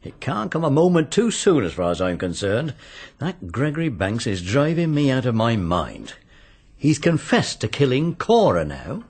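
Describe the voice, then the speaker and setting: An exasperated police inspector in a radio mystery. He is blunt and teasing, with a husky voice and very animated intonation. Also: mid-range voice, normal speed, full character voice. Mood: wry exasperation. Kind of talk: radio mystery drama acting.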